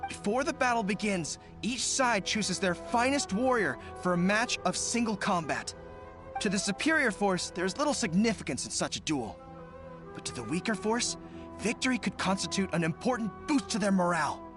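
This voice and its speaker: An earnest young military commander from a video game. His voice is high and very animated, formal yet rousing. Music swells clearly behind him. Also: normal speed. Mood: grave, advising. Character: earnest, loyal young male resistance commander.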